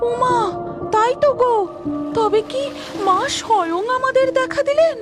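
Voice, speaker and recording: A woman's voice: extremely high pitch, animated, a little slow, over loud music. A woman voicing an anxious, devout village wife in a cartoon story, with a Bengali accent and rustic, exclamatory delivery. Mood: awed, devout. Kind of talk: melodramatic cartoon story acting.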